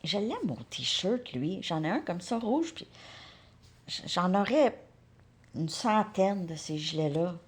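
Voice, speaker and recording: A woman giving a casual tarot card reading. She thinks aloud, repeats words and drops into soft asides. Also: on the low side, very animated, slow pace.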